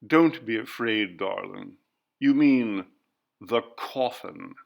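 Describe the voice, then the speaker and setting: A frightened, haunted man as a male storyteller plays him confesses in slow, halting phrases with a creaky edge. He sounds burdened and anguished, like someone in a classic ghost story. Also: lively intonation, lo-fi sound, exaggerated voice. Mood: resigned calm. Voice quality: breaking, low, trembling voice. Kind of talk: ghost story audiobook character.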